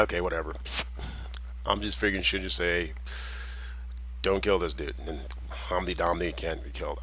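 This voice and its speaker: Deep husky-voiced man, American with a light Jamaican lilt, meandering monologue. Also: fairly bright tone. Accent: American with a slight Jamaican tinge. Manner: meandering, with many restarts and chuckles. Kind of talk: rambling personal monologue.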